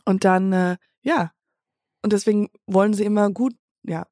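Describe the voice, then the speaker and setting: A woman's voice: mid-range voice, normal speed, dark tone, dry recording, clean studio sound. A woman co-hosting a podcast in casual comic banter, with a playful, mock-serious manner. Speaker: podcast co-host.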